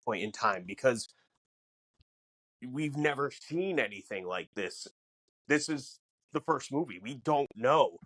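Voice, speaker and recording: A man's voice: mid-range voice, animated, slow, long pauses, fairly bright tone, no room sound. Dry-sounding man in casual podcast chat. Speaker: podcast co-host.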